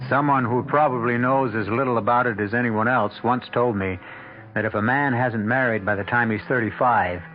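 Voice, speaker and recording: A man's voice: mid-range voice, steady tone, moderate pace, soft background music. A man introducing a radio drama as its host, wry and confiding. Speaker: host and narrator. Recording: dull radio broadcast with faint hiss.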